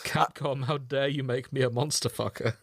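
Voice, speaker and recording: A man's voice: mid-range voice, fairly even intonation, normal speed, no room sound. A man chats as a podcast co-host in a dry, close recording. His delivery is casual and comedic, wry and jokey, with the odd swear word.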